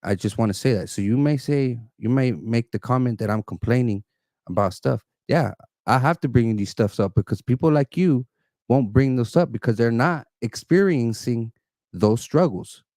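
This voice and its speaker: A man's voice: mid-range voice, expressive, moderate pace, dark tone, dry recording. Insistent Californian man, dry close sound. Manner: earnest and insistent, repeats phrases and talks over the other man. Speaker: debater on a live stream. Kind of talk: heated argument. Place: indoors, an apartment.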